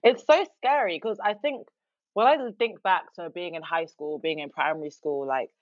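A young woman co-hosting a podcast, talking in an outspoken, expressive casual way. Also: lowish voice, normal speed, no room sound. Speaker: podcast co-host. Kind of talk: casual chat. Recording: dry, dull muffled sound.

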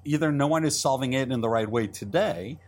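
A middle-aged man from Israel, a Hebrew native, talking as a guest in an interview, with a quick manner full of restarts and repeated words. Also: mid-range voice. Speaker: entrepreneur guest. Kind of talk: interview conversation.

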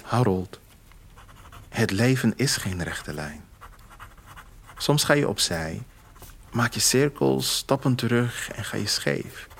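A man voicing a reflective man reading a beloved's farewell letter, tender, slow and hushed, in an audio drama. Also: medium pitch, animated, creaky, heavily acted. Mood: tender, solemn.

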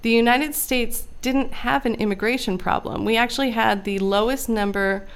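Woman, measured lecturing interview talk, gravelly, smooth, frequent ums. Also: fairly high pitch. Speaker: guest expert on immigration history.

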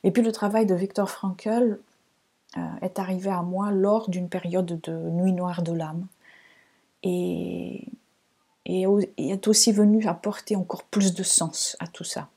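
A woman from Portugal with a Portuguese accent, speaking French as a second language in a reflective, measured podcast monologue, pausing between phrases. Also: fairly low pitch, level tone, vocal fry, dark tone, slightly rough. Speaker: podcast host and astrologer.

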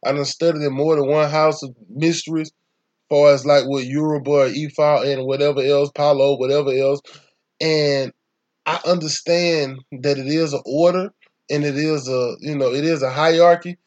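A man's voice: on the high side, steady tone, normal speed, darkish tone, muffled recording. Profane Atlanta man with a raised voice. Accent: Southern US (Atlanta). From Atlanta, Georgia, US. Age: young adult. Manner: profane, rambling, emphatic. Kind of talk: casual conversation.

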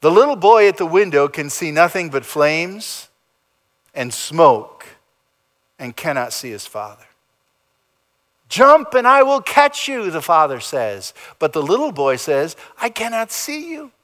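A man preaching a sermon as pastor, in a dramatic style that swings between loud emphasis and soft, low endings. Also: very animated, unhurried. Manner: dramatic preaching with big swings in pitch and loudness. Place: church sanctuary.

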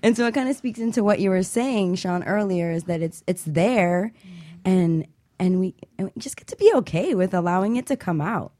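A woman's voice: medium pitch, animated, moderate pace, mellow, no echo. Chatty woman telling a story. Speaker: co-host. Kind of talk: casual radio talk.

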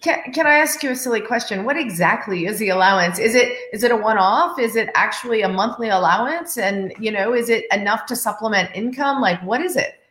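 A woman's voice: a little high, expressive, fairly quick, few pauses, fairly bright tone. A woman interviewing a guest, asking curious, stacked follow-up questions. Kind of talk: conversational interview. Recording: echoey room.

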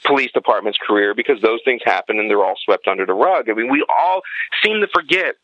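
A man's voice: lowish voice, animated, quick pace, dry recording, lo-fi sound. A man giving blunt, emphatic opinions as a guest in a podcast interview.

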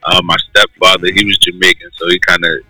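A man's voice: sits low, flat delivery, quick pace, bright, forceful tone, no room sound, soft background music, rough recording. A man from New York, a guest in a casual chat, talking in a laid-back, slangy way with plenty of fillers.

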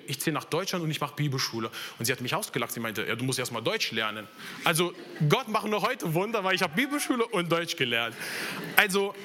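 A man's voice: on the high side, bright, forceful tone. A young man from Italy with an Italian accent, preaching a sermon in earnest and sharing his own story. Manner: earnest, personal testimony with slips in German grammar.

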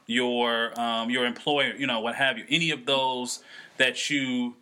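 A young man with a bright, forceful voice chats as a co-host. He thinks aloud, circling back with restarts and fillers as he works out each point. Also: mid-range voice, little pitch movement. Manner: halting, many restarts and fillers. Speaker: podcast co-host. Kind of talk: casual conversation.

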